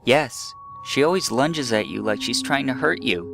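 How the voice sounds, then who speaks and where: A man voicing a shy, frightened young girl in a horror story, speaking quietly and factually. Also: medium pitch, lively intonation, normal speed, gravelly, pitched above the performer's own voice. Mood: blunt, afraid. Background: eerie background music.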